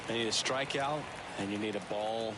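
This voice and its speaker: A man's voice: fairly low pitch, moderate pace, close to an everyday voice. A man voicing a plainspoken baseball analyst in a video game, giving conversational commentary. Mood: earnest, advising. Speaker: color commentator. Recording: game commentary under very loud noise.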